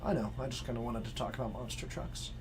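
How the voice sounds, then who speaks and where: A man's voice: mid-range voice, steady tone, quick pace, noisy background. A man in his twenties, commentating a live card game stream from a game store with dry joking banter. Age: 20s.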